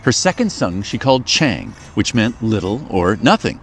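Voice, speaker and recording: A man reading a children's folktale aloud in a very expressive, playful storyteller manner. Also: on the low side, moderate pace, a little hiss or hum.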